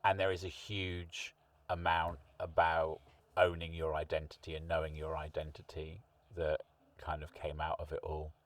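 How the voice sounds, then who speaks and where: A man's voice: a bass voice, completely flat delivery, normal speed. A middle-aged man hosting a podcast, talking in a thoughtful, musing way with long rambling sentences. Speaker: podcast host. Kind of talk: reflective interview chat. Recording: distant mic, dry sound.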